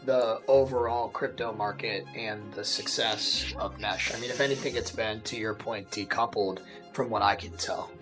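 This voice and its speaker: A man's voice: lowish voice, fairly even intonation, moderate pace, few pauses, over music. A man hosting a podcast, asking questions and introducing the guest in an upbeat way. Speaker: podcast host. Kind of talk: podcast interview.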